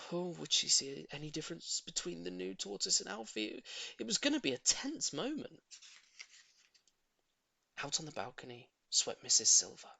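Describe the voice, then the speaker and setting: A man narrates a children's storybook with theatrical emphasis. His voice sits a little high and swings widely in pitch, with a gravelly creak in places. He leans into key words expressively. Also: sharp tone, no echo. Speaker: audiobook reader.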